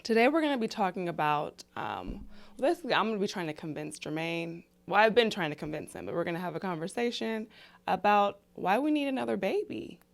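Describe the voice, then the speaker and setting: A woman's voice: medium pitch, sing-song, brisk, creaky. A woman in her thirties co-hosting a family vlog, chatting casually in an earnest, persuasive way. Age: 30s. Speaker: co-host of a couple's family vlog. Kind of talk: casual conversational chat.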